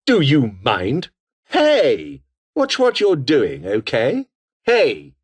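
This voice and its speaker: A menacing British militant, wildly swinging pitch. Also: male voice, huge pitch swings, very slow, slightly rough, full character voice. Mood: suspicious, irritated. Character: menacing, foul-mouthed militant revolutionary foot soldier, adult man. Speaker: video game voice actor. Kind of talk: video game combat barks.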